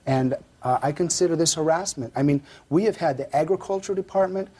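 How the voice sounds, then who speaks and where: A man's voice: on the high side, brisk, mellow. A man testifying defensively as a plaintiff in a TV court, arguing his side. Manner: defensive, argumentative.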